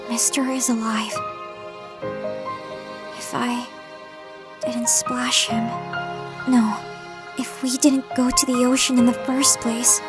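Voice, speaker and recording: Woman acting high-voiced, anxious young girl. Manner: emotional, earnest. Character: anxious, stubborn young girl, devoted to her guardian. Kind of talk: anime-style manga dub acting.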